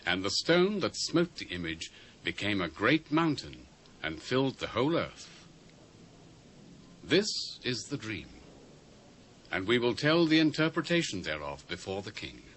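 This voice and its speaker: A man's voice: on the low side, big pitch swings, measured pace, fairly bright tone. An actor with a British accent playing a solemn prophet who declaims grave, archaic pronouncements to a king in a dramatised scripture reading. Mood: awed, emphatic. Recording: noisy old cassette dub.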